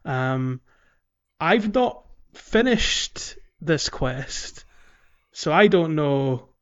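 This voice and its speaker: A man's voice: on the high side, very animated, extremely slow, smooth. Muffled, hesitant man chatting casually. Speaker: podcast co-host. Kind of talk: casual video game playthrough chat.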